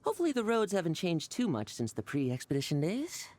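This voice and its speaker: Very animated brash young knight. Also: female voice, on the low side, fairly quick. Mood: upbeat, friendly. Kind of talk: anime video game voice acting.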